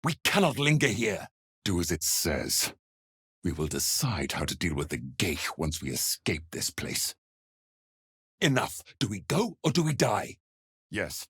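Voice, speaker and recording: An actor playing a stern, impatient warrior in a fantasy game, with a husky voice and a curt, commanding manner. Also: male voice, deep voice, very animated, unhurried, fairly bright tone, dry recording, studio quality, full character voice. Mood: urgent, impatient.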